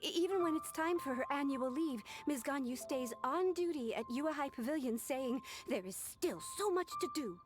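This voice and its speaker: An earnest young clerk with a high, clear voice and a polite, formal way of speaking. Game music plays behind her. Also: lively intonation, moderate pace, hardly any pauses. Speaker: game character.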